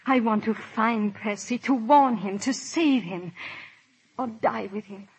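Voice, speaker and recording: A woman's voice: fairly high pitch, a little slow, slightly rough, exaggerated voice. An actress playing an anguished, passionate English noblewoman in a 1930s radio drama, with earnest, theatrical delivery. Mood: urgent, determined. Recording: muffled vintage 1930s radio recording.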